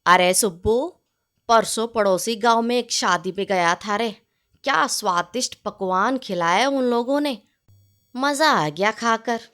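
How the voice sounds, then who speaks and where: A woman's voice: fairly high pitch, unhurried, fairly bright tone, voice pushed down. A woman voicing a gossiping male house servant in a storybook tale, informal and chatty. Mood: enthusiastic. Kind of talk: storybook character dialogue.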